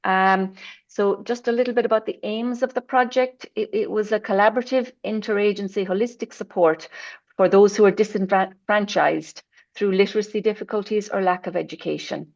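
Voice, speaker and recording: A woman's voice: mid-range voice, fairly even intonation, moderate pace, gravelly, a little mellow, highs cut off. Woman presenting on a video call. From Ireland. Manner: informal, with frequent ums and apologies while sharing her screen. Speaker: adult education presenter. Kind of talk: online conference presentation.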